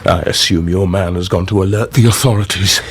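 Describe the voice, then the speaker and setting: A man's voice: deep voice, fairly even intonation, brisk, slight background noise, natural voice. A man acting a proud, disgraced military commander in a radio drama, bitter, menacing and indignant. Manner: theatrical, indignant, challenging.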